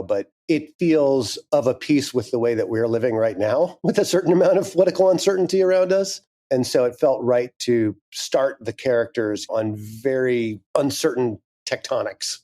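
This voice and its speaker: A man's voice: medium pitch, moderate pace, few pauses. A man, the creator of an audio drama, talking reflectively and animatedly in an interview about his writing. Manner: reflective, animated, thinks aloud. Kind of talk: interview conversation.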